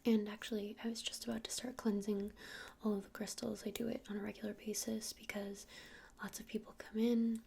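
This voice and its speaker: Woman whispering asmr as a laid back crystal shop witch, soft n breathy, pretty even tone, bit of hiss. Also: medium pitch, brisk. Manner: casual, gentle, hesitant. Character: friendly, easygoing witch running a crystal shop. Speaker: ASMR performer playing a witch shopkeeper. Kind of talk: ASMR roleplay.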